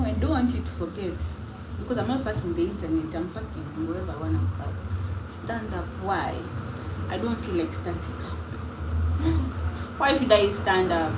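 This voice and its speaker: A woman's voice: medium pitch, animated, a little slow, pauses often. Young kenyan woman ranting on a phone live, keeps repeating herself, roomy echo, noisy background. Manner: defiant, emphatic, repeats herself. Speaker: livestreamer. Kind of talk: casual livestream monologue. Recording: echoey room with clear background noise.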